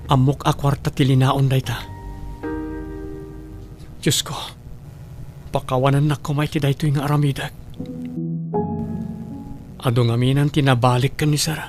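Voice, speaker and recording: A man's voice: medium pitch, level tone, moderate pace, pauses often, over music, natural voice. A man with an Ilocano accent acting a devoted, humble older brother in a radio-style drama. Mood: guilty, troubled. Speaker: voice actor.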